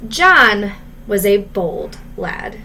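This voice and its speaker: Female teacher narrating a picture book: slowish, bright, expressive, pausing on key words, slight noise behind. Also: mid-range voice. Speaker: teacher reading aloud. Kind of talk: children's storybook read-aloud with asides.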